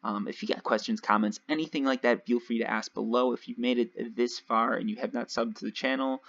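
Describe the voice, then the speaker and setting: A young man casually commentating his gameplay nonstop, his voice a little raspy from long talking. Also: mid-range voice, steady tone, fairly quick, a bit bright. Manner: chatty, rambling, nonstop talk with fillers. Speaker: let's play host. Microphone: home desk mic. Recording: dull lo-fi home mic.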